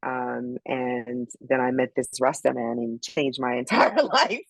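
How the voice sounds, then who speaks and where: A woman's voice: rumbling low, measured pace, gravelly, dry recording, low-quality recording. A middle-aged woman from Barbados, a podcast guest telling her story in a reflective, casual way with many ums. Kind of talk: casual interview chat.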